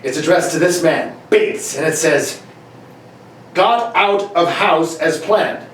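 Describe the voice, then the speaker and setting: A man's voice: on the high side, slow, fairly bright tone. A man playing a bossy, blustering police inspector and father, sputtering through an amateur comedic radio play reading. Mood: flabbergasted. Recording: very echoey room, distant mic, faint noise.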